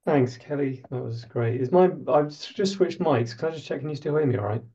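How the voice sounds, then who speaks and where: A man's voice: mid-range voice, brisk, mellow. A man in his thirties hosting a webinar from a room with a skylight, thanking the speaker over a video call. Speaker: webinar host. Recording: dull lo-fi video call audio.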